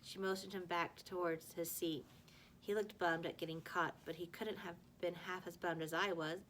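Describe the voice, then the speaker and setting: A woman's voice: fairly low pitch, moderate pace. Woman reading a story aloud over noticeable noise. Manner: expressive reading with stumbles and self-corrections. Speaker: teacher reading a book aloud to a class. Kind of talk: storybook read-aloud with acted dialogue.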